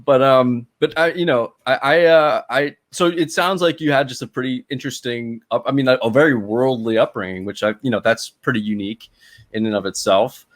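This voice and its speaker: A man's voice: medium pitch, fairly quick. A man hosting a podcast, chatting in a laid-back way and often laughing along. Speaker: podcast host. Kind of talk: casual chat.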